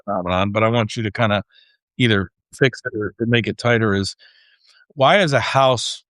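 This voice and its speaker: A man's voice: on the low side, normal speed, fairly bright tone, no echo. A man hosting a podcast, explaining his thinking conversationally in rambling, emphatic phrases. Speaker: podcast host.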